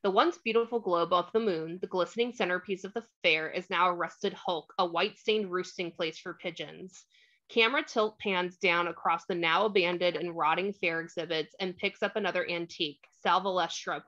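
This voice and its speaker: A woman's voice: lowish voice, normal speed, a bit bright. A woman reading scripted stage directions as narrator of a screenplay table read, on a slightly dull microphone.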